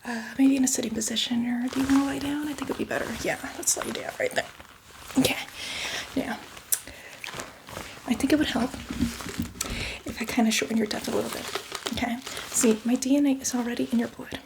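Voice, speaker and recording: A woman's voice: fairly high pitch, fairly even intonation, brisk, gravelly, background noise. A woman playing a chatty, apologetic, casually bloodthirsty zombie in a whispered ASMR roleplay, rambling and reassuring. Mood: fussy, reassuring.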